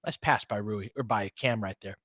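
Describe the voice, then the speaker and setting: A man's voice: on the low side, normal speed, a bit bright, no echo, studio quality. A man giving conversational, partisan basketball play-by-play commentary, reacting openly to the action as it unfolds.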